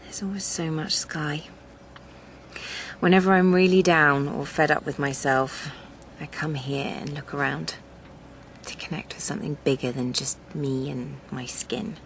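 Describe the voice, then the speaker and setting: Earnest, wounded Englishwoman, fairly low voice. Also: fairly even intonation, unhurried, slight background noise. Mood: wistful, earnest. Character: earnest, wounded, stubborn spiritual woman fighting for her land. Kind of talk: naturalistic radio drama.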